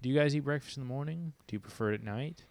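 A man's voice: medium pitch, rapid-fire, no echo. A young man hosting a casual podcast on a handheld mic, rambling with restarts and casual swearing while addressing listeners. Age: young adult. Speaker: podcast host. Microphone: handheld mic with pop filter.

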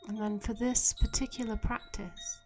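A woman leading a guided meditation in a soft, soothing, gentle voice, leaving long pauses and trailing off breathily at the ends of phrases. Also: fairly high pitch, fairly even intonation. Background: soft meditation music with chimes.

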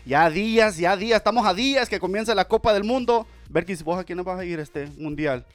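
A man hosting a casual Spanish-language radio podcast, talking with energetic, repetitive, playful hype. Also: sits high, normal speed, no room sound, faint music behind. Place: home studio in Las Vegas.

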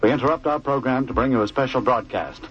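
A man's voice: mid-range voice, fast, a bit bright, dull audio, a little hiss or hum. A man reading a formal, emphatic news bulletin as a 1940s radio announcer, on an old broadcast recording.

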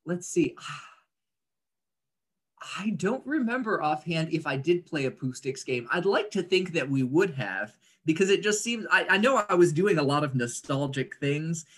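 A man, talking reflectively as an interview guest, articulate and often pausing mid-sentence to pick his words. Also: on the high side, lively intonation, fairly bright tone, husky. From Indonesia, grew up there as an American third culture kid. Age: 30s. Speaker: interview guest, writer and speaker.

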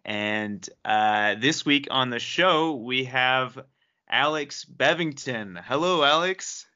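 Bright-voiced easygoing male radio host. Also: mid-range voice, animated, slow pace, smooth. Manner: easygoing, chuckles often. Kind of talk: casual interview hosting. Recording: dull lo-fi remote audio.

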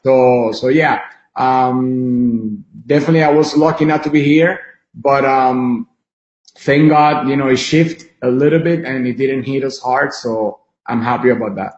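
A man from Venezuela talks as a guest in a live interview, with a mid-range voice. His delivery is conversational and rambles haltingly. The video call audio is dull, with clear room reflections around him. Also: mid-range voice, fairly even intonation, darkish tone, echoey room. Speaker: interview guest, film director and musician.

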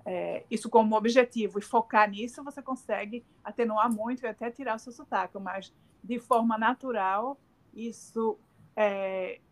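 A woman's voice: a little high, normal speed. A middle-aged woman with a Northeastern Brazilian accent, answering interview questions in a hesitant, filler-filled way with many restarts. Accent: Northeastern Brazilian Portuguese. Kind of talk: casual remote interview.

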